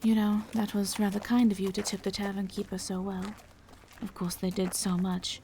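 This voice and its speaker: Soft, even-toned gentle vampire woman played by an actress. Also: mid-range voice, normal speed, a little hiss or hum, natural voice. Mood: calm, considerate. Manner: soft-spoken, polite, hesitant. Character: gentle, courteous female vampire traveling with an injured knight. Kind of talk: roleplay audio drama addressed to the listener.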